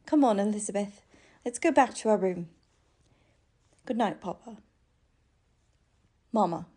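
A woman playing a gentle, dignified young daughter in a radio drama, polite and quietly hurt. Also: medium pitch, animated, unhurried, breathy edge. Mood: hurt, composed. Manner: polite, restrained.

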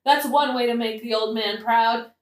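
Woman acting crazed, high-pitched, tipsy Ophelia. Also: fairly even intonation, normal speed, natural voice. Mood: manic, cajoling. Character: crazed, drunken young woman Ophelia, bawdy and rambling. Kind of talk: satirical modern-language audio play acting. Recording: roomy, echoing audio-play recording.

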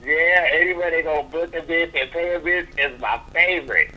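A man's voice: a little high, brisk. A man, a drag performer, talking as a guest in a casual interview, sassy and blunt in his opinions. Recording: dull lo-fi recording.

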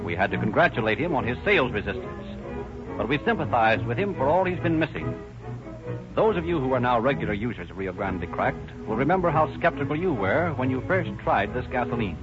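A man's voice: medium pitch, big pitch swings, brisk. Polished guy announcer selling on old time radio, music under him, muffled old sound. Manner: persuasive, polished. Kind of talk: scripted 1930s radio sponsor pitch.

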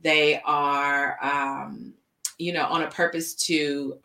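A woman in her early forties co-hosting a podcast, chatting in a relaxed way with frequent fillers. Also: low-pitched, slow pace, vocal fry, distant mic. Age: 40s. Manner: laughs often, relaxed, uses fillers. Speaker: podcast co-host. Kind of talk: casual chat. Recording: echoey room, off-mic.

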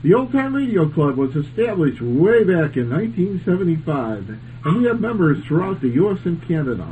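Animated man hosting a club radio show. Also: fairly high pitch, brisk, phone-quality sound. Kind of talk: informal scripted hosting. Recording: faint background noise.

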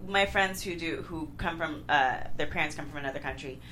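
A woman's voice: low voice, a little fast, pushed and bright, a little hiss or hum. A woman chatting casually as a panelist on a talk show. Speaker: table read panelist. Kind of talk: casual chat.

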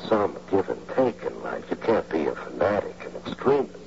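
An actor playing a persuasive, stammering amateur bank robber who takes the lead, in an old radio drama. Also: male voice, low-pitched, big pitch swings, normal speed, vocal fry, heavily acted. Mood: wheedling. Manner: pleading, persuasive, hesitant stammering. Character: fast-talking, wheedling amateur male bank robber, the leader. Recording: muffled, hissy old radio recording.

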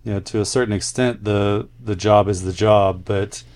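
A man co-hosting a podcast as a nurse, chatting casually and reading listener letters aloud with pauses. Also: lowish voice, steady tone, darkish tone, no echo.

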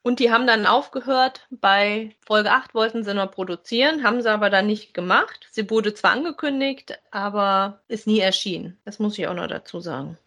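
A woman's voice: mid-range voice, normal speed, few pauses, bright, forceful tone. A woman co-hosting a podcast in a casual, conversational review of audio dramas. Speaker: podcast co-host.

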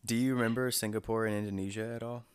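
A young man from North Carolina hosting a podcast, chatting in a relaxed, joking way with his guest. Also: fairly low pitch, very fast, clean tone, no echo, studio quality. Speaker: podcast host. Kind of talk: casual interview chat.

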